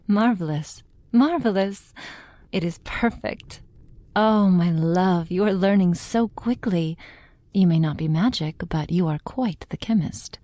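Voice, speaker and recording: A woman playing a possessive, doting witch in an intimate ASMR roleplay, speaking softly and unhurriedly, often slipping into a whisper. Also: fairly low pitch, dry recording, close to an everyday voice. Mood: pleased, doting. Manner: soft, unhurried, affectionate, often dropping to a whisper.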